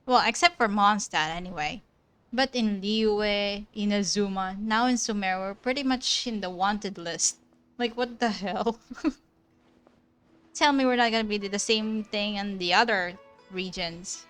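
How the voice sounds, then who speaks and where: A woman casually chatting over a video game story, her voice bright and smooth. She talks slowly and unhurriedly, with game music faintly behind her. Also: mid-range voice. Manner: chatty, laughing at the plot. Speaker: gaming streamer. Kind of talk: casual commentary over gameplay.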